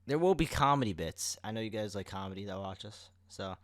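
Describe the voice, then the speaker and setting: A man's voice: lowish voice, lively intonation, a little fast, no room sound. A man talking casually as co-host of a podcast, addressing listeners in everyday conversation. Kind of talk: casual chat.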